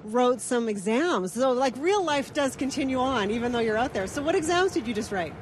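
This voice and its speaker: A woman's voice: sits high, animated, a little fast, background noise. A woman hosting a breakfast television interview, chatty and upbeat, recorded outdoors in a city. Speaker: morning TV host.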